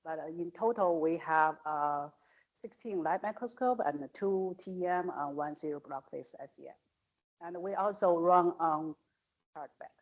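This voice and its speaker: A woman's voice: lowish voice, normal speed. A woman in her forties who directs a microscopy laboratory, introducing it on a panel in a matter-of-fact way with fillers. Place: conference hall at a forum. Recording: dull audio, set back from the mic.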